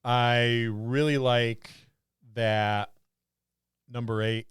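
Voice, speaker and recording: A man's voice: medium pitch, little pitch movement, extremely slow, clear, resonant tone, dry recording. Middle-aged man, gaming podcast co-host. Clean resonant tone, wry joking delivery, tight dry recording. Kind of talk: casual joking banter about tabletop gaming.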